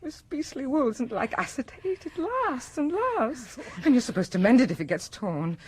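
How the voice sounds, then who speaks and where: A woman's voice: big pitch swings, normal speed, darkish tone, a little hiss or hum. An actress playing a faded, garrulous woman exiled from a sterile future city, rambling tearfully in a radio drama. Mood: plaintive, fussy. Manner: gushing, rambling, swings from whisper to shrill cry. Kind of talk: BBC radio drama acting.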